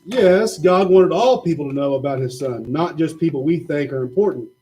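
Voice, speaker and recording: A man's voice: on the high side, normal speed, faint music behind. A man patiently telling a Bible story to children in a church puppet show. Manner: patient, gently explaining.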